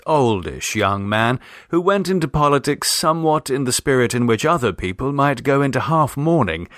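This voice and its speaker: A man's voice: mid-range voice, fairly bright tone, no room sound, studio quality. A man giving a scripted narration of a classic short story as an audiobook reader.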